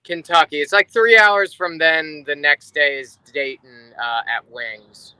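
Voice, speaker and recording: Very bright-voiced man from New Jersey. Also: fairly high pitch, lively intonation, a little slow, clear, resonant tone, no echo, rough recording. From New Jersey, United States. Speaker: guest, rock drummer. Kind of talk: casual chat.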